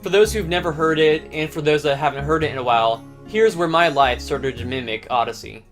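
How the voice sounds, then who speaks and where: A man's voice: fairly high pitch, brisk, a bit bright. A man narrating a scripted personal story as a host. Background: plucked guitar music.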